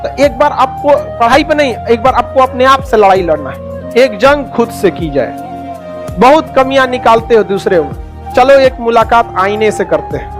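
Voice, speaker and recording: A man's voice: very high-pitched, lively intonation, moderate pace. A man speaking as a motivational coach, exhorting listeners in a conversational way with rhetorical questions. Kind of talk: motivational talk. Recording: music behind the voice. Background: music.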